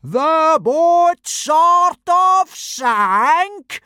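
Bellowing Viking teacher, drawn-out furious shouts, lively swoops. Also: male voice, no room sound, studio quality. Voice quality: booming, roaring.

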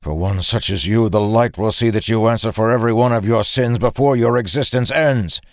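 A vengeful rebel leader, played by a male narrator, snarls with venom and harsh bitterness. His voice rises above the teller's low register in a close, dry fantasy audiobook. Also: a little slow, a little mellow, no room sound. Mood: snarling.

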